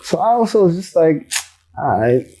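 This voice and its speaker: Relaxed slangy rapper man, mellow voice. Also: fairly high pitch, moderate pace. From South Africa. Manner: loose, slangy, swears freely, laughs while telling stories. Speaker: rapper, interview guest. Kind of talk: casual interview chat.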